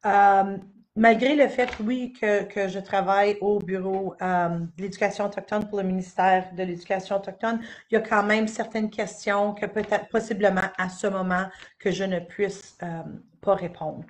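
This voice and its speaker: A woman's voice: medium pitch, steady tone, moderate pace, a little hiss or hum. A middle-aged woman from Northern Ontario, giving an unscripted live presentation as an education officer, with frequent fillers and restarts. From Northern Ontario, Canada. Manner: hesitant, many "euh" fillers and restarts. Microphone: webcam or computer mic on a live stream.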